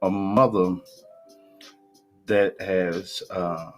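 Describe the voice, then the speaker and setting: Deep-voiced man rambling on a live stream. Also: fairly even intonation, very slow, faint music behind. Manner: rambling, colloquial, repeats himself. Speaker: pastor and co-host. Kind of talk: casual live-stream chat.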